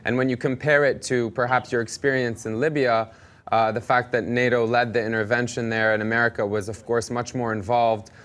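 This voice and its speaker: A man's voice: mid-range voice, level tone, fairly quick, a bit bright. A man hosting a live web interview, asking probing questions and rephrasing them as he goes. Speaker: host.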